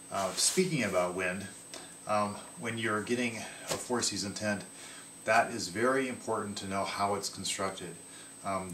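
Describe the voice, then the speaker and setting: A man in his thirties with a low voice casually talks through his gear from a table in his living room, pausing often on ums and restarting his sentences. The camera sits across the table from him, so he sounds a little distant. The room adds a noticeable echo and a faint ambient hiss. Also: fairly bright tone. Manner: halting, many ums and restarts. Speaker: outdoor gear vlogger.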